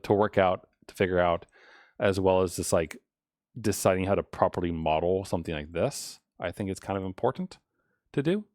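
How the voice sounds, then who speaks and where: A man's voice: deep voice, darkish tone, no room sound, clean studio sound. A man streaming live as he codes, talking casually and unscripted, rambling as he thinks aloud. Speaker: live streamer coding a game.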